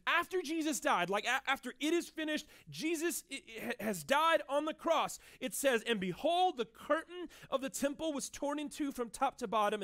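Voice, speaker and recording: A man with a notably high voice preaches from a church stage. He talks in an easy, conversational way, often checking in with "right?", and gets loud and lively at his high points before dropping soft again. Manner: animated, conversational preaching with frequent "right?" asides. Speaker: pastor. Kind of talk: sermon. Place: church stage with band gear.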